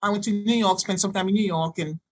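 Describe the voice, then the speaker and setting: A woman in her sixties from Haiti, a native French and Creole speaker with a Haitian accent, telling personal stories in a halting, digressive way as a podcast guest. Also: medium pitch, fairly even intonation. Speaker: podcast guest, doctor and healer. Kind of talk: conversational personal storytelling.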